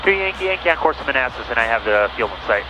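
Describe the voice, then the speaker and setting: A man with a medium-pitched voice speaks as a private pilot from the cockpit of a small plane. His delivery is casual and clipped, picked up on a headset over clear engine noise. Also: rapid-fire. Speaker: private pilot showing a friend the flight. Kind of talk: casual cockpit chat and clipped radio calls. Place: inside a small plane in flight. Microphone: aviation headset. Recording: noisy light aircraft cockpit headset audio. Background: steady engine noise.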